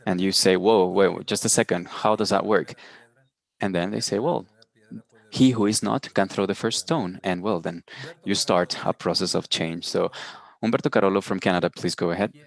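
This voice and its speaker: A man's voice: low-pitched, steady tone, moderate pace, gravelly. A man speaking as a panelist over a video call, telling his story in an informal way with some hesitations.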